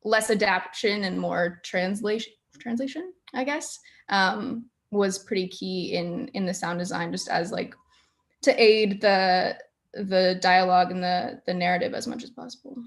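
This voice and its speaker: A woman in her early twenties talking casually on a video call panel, halting as she searches for words. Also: medium pitch. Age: early 20s. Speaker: student sound designer.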